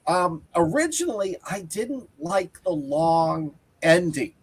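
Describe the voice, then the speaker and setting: Husky Boston-accented podcaster. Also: mid-range voice, lively intonation, takes it slowly. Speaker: podcast co-host, teacher. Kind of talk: casual movie review chat.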